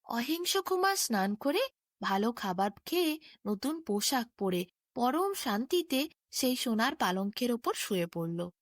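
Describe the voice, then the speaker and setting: A woman narrates a bedtime fairy tale in a fairly high voice. Her phrasing is expressive and clear, and the recording is close and dry. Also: a little slow, no room sound. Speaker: storyteller.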